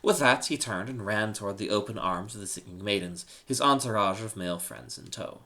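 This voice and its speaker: A man's voice: lowish voice, a little fast, pushed and bright. A man reading a humorous short story aloud in a dramatic scripted narration. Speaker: story reader.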